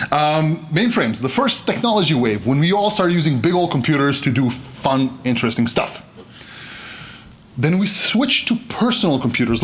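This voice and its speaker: Man in his thirties, keynote speaker; voice a little high, very lively delivery, jokes with the crowd. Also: slight background noise. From Serbia. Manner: animated, joking, gestures broadly. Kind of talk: conference keynote talk. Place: conference stage, indoors.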